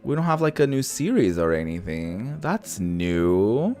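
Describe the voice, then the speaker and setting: A man's voice: on the low side, big pitch swings, measured pace, darkish tone, soft background music. A man giving casual, chatty let's-play commentary in a playful, campy manner, reading bits of dialogue aloud. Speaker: video game streamer.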